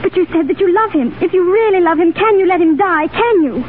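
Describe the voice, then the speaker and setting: A woman's voice: very high-pitched, fairly quick. An actress in 1930s radio drama playing a refined young aristocratic lady with an earnest, pleading manner. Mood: anxious, pleading. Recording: tinny, lightly noisy 1930s radio broadcast.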